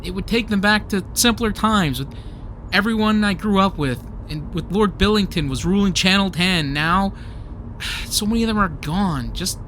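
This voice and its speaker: A man's voice: sits high, normal speed, fairly bright tone, a little hiss or hum, poor audio. A man playing an apologetic, nostalgic underdog friend in an audio comedy-drama, speaking in a hesitant, conciliatory way. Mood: dejected, wistful.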